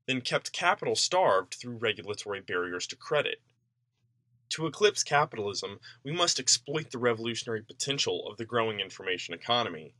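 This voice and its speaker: Bright, forceful man reading an essay. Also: medium pitch, no echo. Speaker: reader of a political essay. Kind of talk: scripted narration, read-aloud essay.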